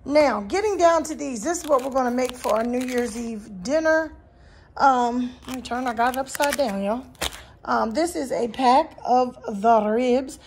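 A woman's voice: high-pitched, unhurried, darkish tone, slight background noise. A woman vlogging a grocery haul in her home kitchen, chatty and casual, filming on a handheld phone. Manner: chatty, lots of "you guys" and "um". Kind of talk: casual chat to camera.

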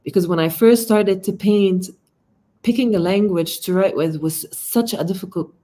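A woman's voice: medium pitch, normal speed, dark tone. A woman in her thirties with an Arabic accent, a guest on a video call, talking reflectively and often searching for words. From Palestinian, based in Amman. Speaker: interview guest, artist. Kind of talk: casual conversation. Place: home room, video call. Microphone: laptop mic on video call.

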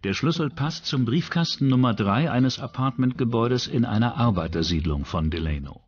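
A man's voice: mid-range voice, expressive, moderate pace, muffled recording. A man reading scripted true-crime documentary narration as the narrator. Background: background music.

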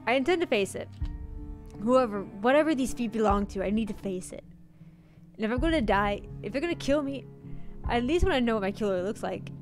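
A woman's voice: fairly high pitch, animated, a little fast, a little mellow. Woman dramatically reading a horror game aloud. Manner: dramatic read-aloud with stumbles. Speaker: game streamer. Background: game music.

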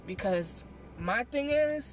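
A young woman talks into a dashboard camera from her parked car, spinning a dramatic storytime with slang and quick asides. Her fairly high voice swoops up and down with lots of expression. Faint music plays under her voice. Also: animated. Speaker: vlogger. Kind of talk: animated storytime chat.

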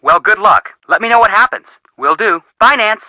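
A boyish young sleuth in a video game, high for a man and very animated. He is upbeat, breezy and helpful, with a bright, dry sound. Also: moderate pace, no echo, highs cut off, exaggerated voice. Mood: friendly, casual. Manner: breezy, casual, eager. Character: upbeat, boyish young male amateur detective, helpful friend.

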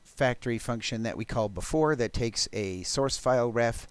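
A man presenting a technical programming demo, explaining step by step in a halting, thinking-aloud way. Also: medium pitch, clear, resonant tone, no room sound. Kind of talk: technical talk with live code demo.

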